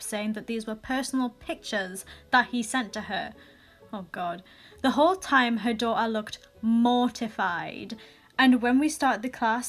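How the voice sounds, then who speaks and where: A young woman reading viewer stories aloud in a casual vlog, with sassy asides and quick restarts. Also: fairly high pitch. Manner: chatty, sassy asides, frequent laughs and restarts. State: recently sick.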